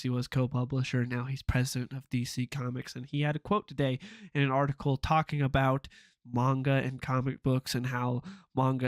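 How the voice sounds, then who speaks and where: A man's voice: medium pitch, no room sound, studio quality. A man with a stuffed-up, nasal voice from a cold, giving casual, opinionated commentary with frequent "uh" fillers. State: sick with a stuffed-up nose. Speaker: comic book commentary YouTuber.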